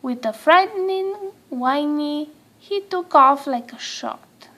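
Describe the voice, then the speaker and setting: A woman reading a children's story aloud in an expressive storytelling manner. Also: high-pitched, slow pace. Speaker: storybook reader.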